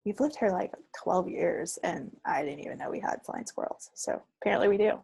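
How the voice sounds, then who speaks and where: A woman's voice: fairly low pitch, a little fast, vocal fry, darkish tone, husky, no room sound. A woman co-hosting a podcast, chatting casually with a dry, wry understatement. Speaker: podcast co-host. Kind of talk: casual chat.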